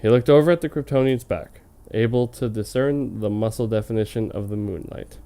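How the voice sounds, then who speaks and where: A man's voice: fairly low pitch, a little fast, mellow, smooth. A man reading fan fiction on a comedy show in a hammy, mock-dramatic way, with casual, amused asides. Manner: hammy, amused, breaking off to comment.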